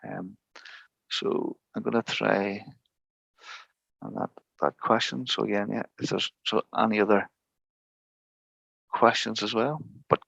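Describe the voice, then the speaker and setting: A man speaking as a guest expert in an informal online tutorial, encouraging but halting, over slightly dull call audio. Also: lowish voice, fairly even intonation, creaky, fairly bright tone, slightly rough, dry recording.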